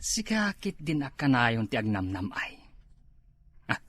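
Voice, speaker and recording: A man with an Ilocano accent playing a lazy, girl-chasing, henpecked husband in an amateur comedy drama, with a husky voice. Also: mid-range voice, sing-song, unhurried, a bit bright, no room sound. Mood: eager to please. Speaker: actor in comedy skit.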